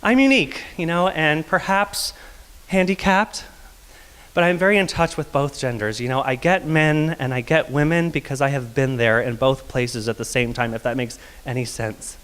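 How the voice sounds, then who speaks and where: Male storyteller from Texas, soft feminine-leaning voice, confessional and wryly humorous, mid-range pitch, long hall reverb. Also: moderate pace, clean tone. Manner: confessional and wry, with light laughs. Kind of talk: live personal storytelling monologue.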